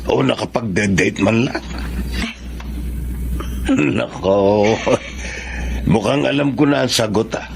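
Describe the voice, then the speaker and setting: A man's voice: fairly low pitch, slow pace, vocal fry, long pauses, noisy background. An actor with a Filipino accent playing a kindly, apologetic father of a grown son in a radio drama. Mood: sympathetic.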